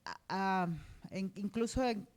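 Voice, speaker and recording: A woman's voice: medium pitch, slow, a little mellow. Mexican woman journalist, informal panel talk. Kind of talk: informal, conversational panel answer.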